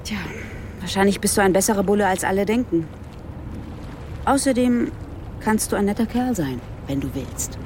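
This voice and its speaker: An actress around forty playing a sarcastic, skeptical police detective in a naturalistic radio drama, asking dry, pointed questions. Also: female voice, mid-range voice, expressive, moderate pace, long pauses, background noise, lightly acted. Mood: conciliatory.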